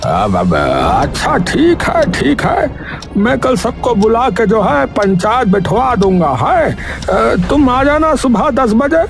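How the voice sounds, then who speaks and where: A man acts out a blustering, shifty village headman for a cartoon story. His voice sits very high for a man and swings about, with a creaky edge. He speaks slowly, stalling and hemming, over soft music. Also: big pitch swings, exaggerated voice. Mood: blustering, official. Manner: hemming and hesitant, with stalling filler sounds. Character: evasive, blustering village headman, a husband hiding things. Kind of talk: Hindi cartoon story voice acting. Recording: music under the voice.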